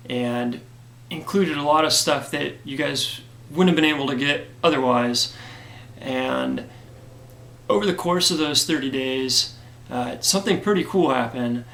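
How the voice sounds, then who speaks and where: Guy getting over a cold, voice kinda cracked and gravelly, talking thankful and earnest to his supporters, roomy echo. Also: medium pitch, fairly bright tone. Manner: earnest and grateful, many fillers. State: hoarse from a cold. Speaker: comic creator giving a campaign update. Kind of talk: casual direct-to-camera talk.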